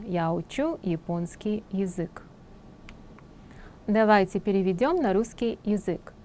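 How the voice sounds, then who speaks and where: A woman's voice: mid-range voice, darkish tone, slight background noise. Woman teaching Korean in Russian. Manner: reads Korean sentences quickly, then translates slowly into Russian. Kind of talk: exam prep lesson.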